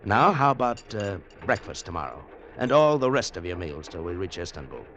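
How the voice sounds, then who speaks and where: A man's voice: deep voice, sing-song, brisk, fairly bright tone, heavily acted. An actor playing a smitten, gallant traveler who narrates his train adventure in 1940s radio style. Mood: flirtatious. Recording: vintage radio drama with organ music.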